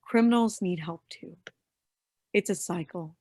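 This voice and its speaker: A woman's voice: lowish voice, measured pace, mellow, no echo, studio quality. A woman playing a bitter, defiant young henchwoman in a staged play reading. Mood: bitter, defensive.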